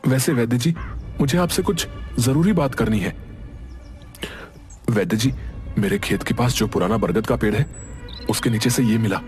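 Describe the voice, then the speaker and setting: A man's voice: mid-range voice, moderate pace, long pauses, lightly acted. A man playing an earnest, determined young village son in a Hindi cartoon story, speaking respectfully. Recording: music behind the voice.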